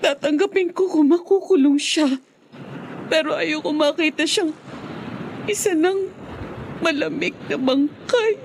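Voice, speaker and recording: An actress with a Filipino accent playing a grieving, loyal wife and older sister in an emotional radio horror drama, clinging to hope. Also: female voice, sits high, measured pace, pauses often, a little hiss or hum. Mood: stubborn sorrow. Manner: melodramatic, emotional delivery.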